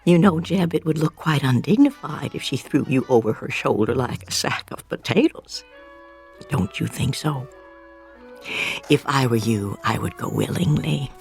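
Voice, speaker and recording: A kindly old woman in a vintage drama. Her voice is low and lilts expressively, with slow, careful, gentle phrasing. Music sits underneath. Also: expressive, creaky, exaggerated voice. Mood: gently persuasive. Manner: gentle, deliberate, formal phrasing. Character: kindly, wise old woman, a children's gentle aunt figure. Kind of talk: classic television drama acting.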